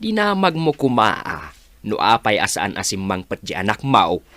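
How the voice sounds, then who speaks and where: A man's voice: fairly low pitch, wildly swooping pitch, normal speed, sharp tone, no room sound, full character voice. A man acting a questioning older village father in an Ilocano radio drama.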